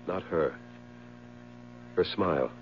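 An actor playing an irritable, haunted husband full of hate, in an emphatic 1970s radio drama style. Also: male voice, deep voice, slow, narrow, tinny audio, a little hiss or hum. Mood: irritated, bitter. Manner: theatrical, emphatic. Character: irritable, haunted, hateful widowed husband Ernest. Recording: humming, band-limited 1970s radio recording.

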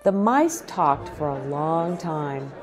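Slow, animated woman reading to children. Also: low-pitched, faint music behind. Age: middle-aged. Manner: deliberate phrasing, addressing child listeners with questions. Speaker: children's storytime host reading aloud. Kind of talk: slow, animated picture-book read-aloud for young children. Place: small indoor set with bookshelves, seated in an armchair.

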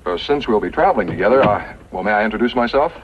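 A man acting a polite, earnest young army lieutenant in a 1950s radio western, with brisk, formal manners. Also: lowish voice, expressive, close to an everyday voice. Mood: courteous. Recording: noisy, dull 1950s radio recording.

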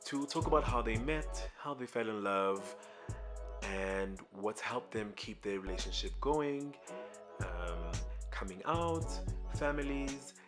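A man narrates a web series in a relaxed, wry voice-over. His voice sits fairly low. Clear music plays underneath. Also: takes it slowly.

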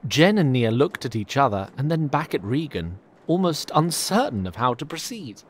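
A man's voice: medium pitch, big pitch swings, normal speed, a little hiss or hum, close to an everyday voice. A man reading scripted narration for a fantasy audio drama.

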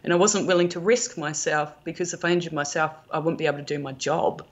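A woman's voice: low-pitched, steady tone, quick pace, lo-fi sound. A middle-aged woman with a New Zealand accent, chatting casually and telling anecdotes as an interview guest. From rural New Zealand. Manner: chatty, anecdotal, quotes conversations. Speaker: podcast guest, film producer and prop maker.

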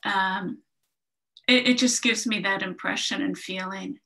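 A woman with a bright, crisp voice shares her impressions in a group discussion on a video call. She speaks reflectively and thinks aloud. The sound comes through a computer microphone. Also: mid-range voice, steady tone, measured pace. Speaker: discussion participant. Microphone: computer mic on a video call.

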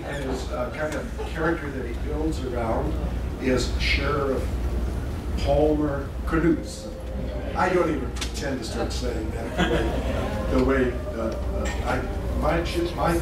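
Slow, joking man in a very echoey hall. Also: medium pitch. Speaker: host introducing a speaker. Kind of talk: casual introduction to an audience. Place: church hall, indoors, large. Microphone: handheld mic. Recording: echoey, distant, noisy room audio.

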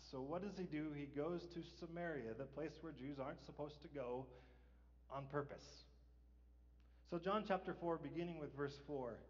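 A middle-aged man preaches from the stage of a large church, talking to the congregation in a casual, conversational way. His voice carries a long reverb from the sanctuary and sounds somewhat dull and lo-fi. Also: mid-range voice. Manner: conversational preaching, asks rhetorical "right?", chuckles. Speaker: pastor. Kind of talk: sermon.

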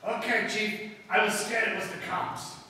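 A man's voice: high-pitched, fairly even intonation, normal speed, very noisy, close to an everyday voice. A man playing a nervous, dim male henchman with jittery tough-guy talk, in a live stage recreation of 1930s radio comedy. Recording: echoey room from a far camera.

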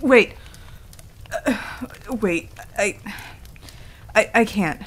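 A woman's voice: mid-range voice, extremely slow, poor audio. A woman voicing a proud but flustered young female werewolf in an intimate ASMR roleplay, stammering and defensive. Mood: flustered, upset.

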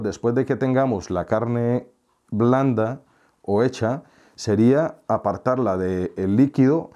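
A middle-aged man from Colombia, hosting a home cooking tutorial and calmly explaining each step with small friendly asides. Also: medium pitch. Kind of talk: relaxed recipe explanation. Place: home kitchen, indoors.

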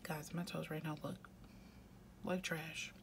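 A woman's voice: low voice, little pitch movement, slow pace, vocal fry, noisy background. A woman with a head cold, congested, chatting casually and often half-whispering as she fills out her weekly planner at home. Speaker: planner vlogger. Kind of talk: casual chat while planning.

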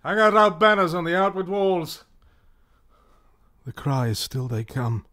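An actor playing an embattled, bitter warrior tyrant in a classical radio drama, declaiming theatrical verse. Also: male voice, sits high, expressive, unhurried, pushed and bright, heavily acted. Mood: scornful, bitter.

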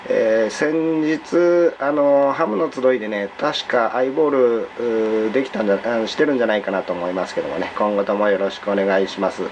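A man with a fairly low voice talks briskly in the courteous routine of an amateur radio operator. He is recorded indoors at his desk, with dull sound and faint noise. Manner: polite, procedural. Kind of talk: ham radio contact, call signs and signal reports.

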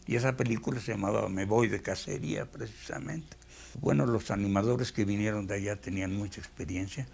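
Older Mexican man, mellow and gravelly, veteran animator recounting memories. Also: fairly low pitch, fairly quick. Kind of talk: reminiscing in a documentary interview.

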